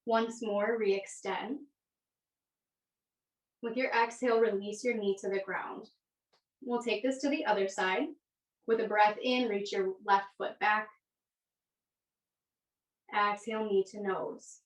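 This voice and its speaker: A woman leading a yoga class as the instructor, giving gentle, unhurried cues with pauses between them. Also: medium pitch, fairly even intonation. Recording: echoey room, distant microphone.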